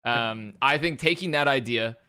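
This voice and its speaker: An American man co-hosting a casual podcast chat, joking with dry deadpan bits. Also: medium pitch, moderate pace, smooth. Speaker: podcast co-host.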